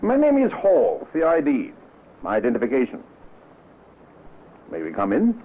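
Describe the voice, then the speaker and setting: An actor playing a shrewd, cautious police inspector in an old radio drama, dry and politely probing, on a muffled, tinny recording. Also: male voice, mid-range voice, huge pitch swings, normal speed, slight background noise. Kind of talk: old-time radio acting.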